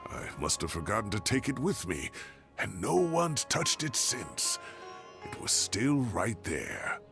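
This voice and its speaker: A chatty older professor in a video game, jovial and absent-minded. His low voice is gravelly and swings expressively as music plays behind. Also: big pitch swings, measured pace, full character voice. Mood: delighted. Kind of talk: video game character dialogue. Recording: background music under the voice.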